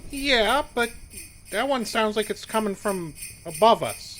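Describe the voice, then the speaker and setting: A man's voice: sits high, lively intonation, measured pace, a bit bright, soft background music. An actor playing a simple-hearted, anxious sidekick in a radio play, hesitant, stammering and folksy in his earnest talk. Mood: nervous wonder.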